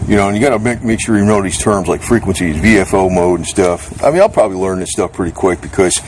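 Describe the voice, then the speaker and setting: A man with a fairly low voice talks off the cuff as he reviews gear. He rambles in a blunt, casual way with mildly salty slang. The recording is made outdoors under a carport, with some light background noise. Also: expressive, quick pace, a little mellow. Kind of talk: unscripted casual product chat. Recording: noisy outdoor recording with ambient hum.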